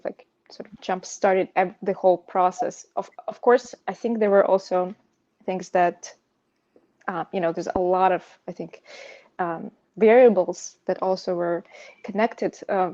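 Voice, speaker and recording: A woman from Russia, a native Russian speaker, telling a personal story as an interview guest in a halting, searching way. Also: medium pitch, warm tone, lo-fi sound. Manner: hesitant, halting, frequent "you know". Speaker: podcast guest sharing personal insomnia story; pianist.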